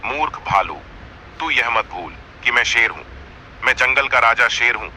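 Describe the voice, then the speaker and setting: A man's voice: medium pitch, animated, normal speed, bright, forceful tone, slight background noise, pitched below the performer's own voice, low-quality recording. A man voicing a proud lion king of the jungle, by turns imploring and imperious, in a cartoon fable. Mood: angry, threatening.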